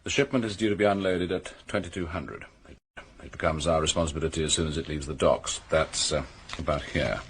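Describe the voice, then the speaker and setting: A practical, cautious policeman in a radio crime drama. His voice is low with a husky edge. He speaks in a clipped, businesslike manner. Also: brisk, full character voice. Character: businesslike, cautious male police officer giving operational details. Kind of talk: naturalistic radio drama dialogue.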